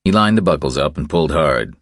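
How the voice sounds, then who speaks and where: A man's voice: a bass voice, expressive, fairly quick, no echo, studio quality. A man reading a thriller audiobook as a scripted narration.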